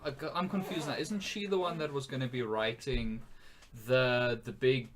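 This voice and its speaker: A man's voice: medium pitch, fairly even intonation, normal speed, a little hiss or hum. A man co-hosting a podcast, speaking in casual conversation. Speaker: podcast co-host. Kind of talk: casual chat.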